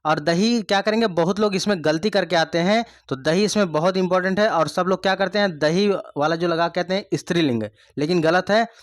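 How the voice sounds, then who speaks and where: A man's voice: sits high, a little fast, few pauses, no echo, studio quality. A man teaching an online Hindi grammar lesson for exam prep. He repeats each point and keeps throwing rhetorical questions at the students. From India.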